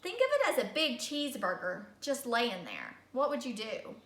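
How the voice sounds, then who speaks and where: A woman's voice: fairly high pitch, wildly swooping pitch, moderate pace. A woman voicing a chatty, self-justifying big bad wolf in a children's read-aloud, folksy and dramatic with mock innocence. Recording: echoey room.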